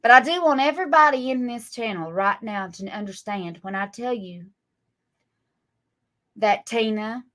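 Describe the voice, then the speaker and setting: A woman's voice: a little high, normal speed, a bit bright. A woman, scolding and mocking her critics on a live stream in a sarcastic drawl. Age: 40s. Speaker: livestream guest.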